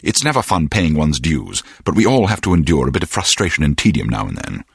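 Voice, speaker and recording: An actor playing a seasoned, wry older spy and mentor in a video game, with dry, needling humor. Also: male voice, rumbling low, very animated, brisk, gravelly, husky, no room sound, heavily acted. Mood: stern, fatherly.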